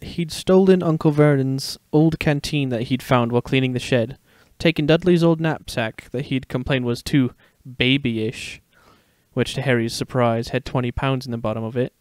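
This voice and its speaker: A man's voice: mid-range voice, moderate pace, a little mellow, dry recording, lightly acted. A man voicing a playful, protective young father in a dramatic story reading. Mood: reading aloud. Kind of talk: dramatic fan fiction reading.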